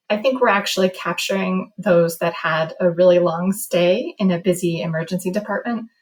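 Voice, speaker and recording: A woman researcher explaining study findings in an interview, in a measured and precise way. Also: fairly low pitch, poor audio. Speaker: medical researcher, study author.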